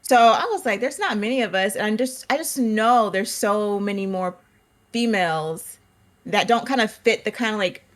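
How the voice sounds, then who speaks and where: A woman's voice: medium pitch, normal speed, fairly bright tone. A woman in her thirties, a podcast guest chatting casually and expressively, often laughing and acting out what others said.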